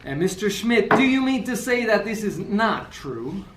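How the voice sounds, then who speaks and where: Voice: medium pitch, very animated, a little slow, echoey room, slight background noise. A performer playing a smug, persistent lawyer who questions the witness in a theatrical, pedantic way. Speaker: defense lawyer Mister Grayson. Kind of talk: amateur radio play reading.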